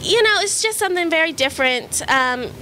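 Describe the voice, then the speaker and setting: Relaxed young woman singer, high bright voice. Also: fairly quick, a little hiss or hum, rough recording. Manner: relaxed, joking, many ums. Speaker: performing artist. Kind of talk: casual interview. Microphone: interviewer's handheld mic.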